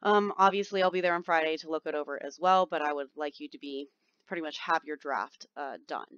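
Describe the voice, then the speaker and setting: A woman's voice: deep voice, brisk, fairly bright tone, dry recording, dull audio. Whiny-voiced woman teacher giving instructions. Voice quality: whiny, nasal-sounding voice. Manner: chatty, reassuring, explains step by step with frequent ums. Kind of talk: recorded classroom instructions over slides. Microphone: computer microphone, screen recording.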